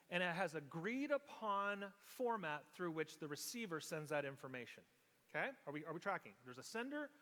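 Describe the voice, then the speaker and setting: Male pastor, slightly higher voice, explanatory teaching sermon, long reverb of a church hall. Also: a bit bright. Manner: explanatory, checks in with the congregation often. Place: church sanctuary.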